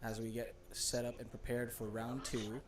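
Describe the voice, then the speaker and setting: Easygoing male co-host over loud crowd. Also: medium pitch, little pitch movement, normal speed. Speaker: tournament stream co-host. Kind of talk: live casual commentary. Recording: heavy crowd noise behind. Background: loud crowd of tournament players.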